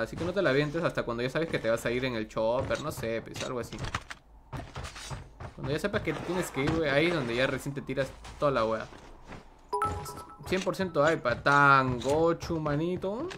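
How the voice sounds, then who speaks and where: A man, streaming a game live, chatting casually and greeting viewers by name. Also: a little high. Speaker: gaming streamer. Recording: background noise.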